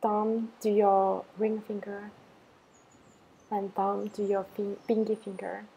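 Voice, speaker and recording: A woman teacher leading a guided meditation in a calm, unhurried manner, giving simple instructions with gentle pauses. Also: mid-range voice, gravelly, breathy edge, rough recording.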